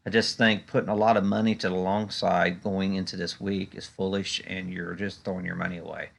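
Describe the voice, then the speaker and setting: A man giving unscripted stock market commentary in a conversational, halting way, often padding with "you know". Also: sits low, level tone, creaky. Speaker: stock market analyst and video host.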